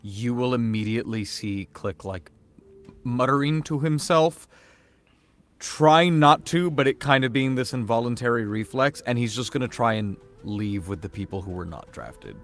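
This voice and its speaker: A man's voice: medium pitch, normal speed, faint music behind. A man improvising casual narration as a player in a tabletop roleplaying game.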